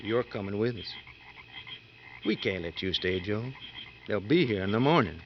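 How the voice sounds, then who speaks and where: A callous American convict ringleader, acted by a man, hard and menacing in the manner of 1950s radio drama. His middle-register voice moves widely in pitch. It comes through a band-limited old recording with slight noise. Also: expressive, normal speed, dull audio, lightly acted. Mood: cold, menacing.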